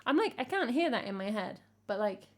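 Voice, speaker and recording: A woman from England with a croaky voice, chatting casually with her live-stream chat as a singer-songwriter and teasing herself as she goes. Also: medium pitch, very animated, brisk. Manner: chatty, self-teasing, hums melody snippets.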